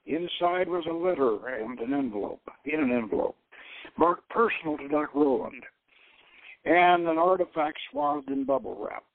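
A man's voice: high-pitched, gravelly. Man reading over a tinny phone line. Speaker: radio show host and author. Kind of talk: scripted announcement and dramatic reading of a novel.

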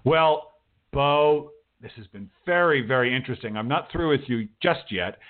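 A man's voice: mid-range voice, lively intonation, moderate pace. Male host on a thin, phone-like line. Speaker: talk show host, writing teacher. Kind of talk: casual interview chat. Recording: thin phone-like radio call audio.

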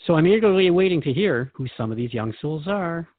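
A man's voice: a little high, animated, fairly quick, dry recording, phone-quality sound. A man hosting a conversational interview, asking questions in a wry, candid way. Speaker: host and questioner.

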